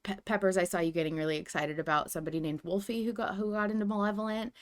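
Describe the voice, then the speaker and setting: A woman's voice: medium pitch, steady tone, very fast. A woman co-hosting a podcast in a casual, conversational fan chat. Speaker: podcast co-host.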